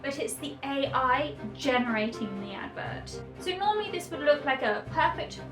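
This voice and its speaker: Female vlogger, high voice, playful rambling delivery, casual creator narration with music behind. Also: animated, normal speed. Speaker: video creator narrating own project. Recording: roomy echo, music behind. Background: background music.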